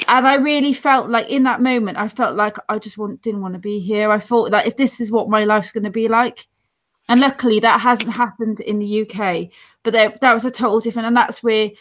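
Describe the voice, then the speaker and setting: A woman, chatting earnestly on a video call from home, rambling and restarting as she tells stories. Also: fairly high pitch. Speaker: guest on support show. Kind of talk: casual video-call chat. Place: dim room at home. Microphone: earphone headset on video call.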